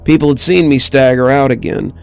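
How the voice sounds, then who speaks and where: A man reading a scripted horror story in a measured, dramatic manner as the narrator. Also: medium pitch, creaky, clear, resonant tone. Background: music bed.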